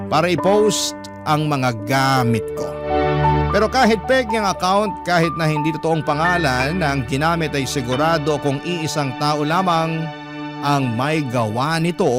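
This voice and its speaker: Male radio storyteller: slightly high voice, measured, earnest dramatic narration over prominent music. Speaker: radio storyteller reading a listener's letter. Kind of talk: dramatic first-person scripted narration. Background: loud background music.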